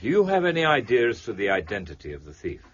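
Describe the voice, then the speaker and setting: An actor playing a cool, precise gentleman detective in a period radio drama, measured, formal and dryly teasing. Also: medium pitch, huge pitch swings, lo-fi sound. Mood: dry, composed. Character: cool, precise gentleman amateur detective, wry and self-assured.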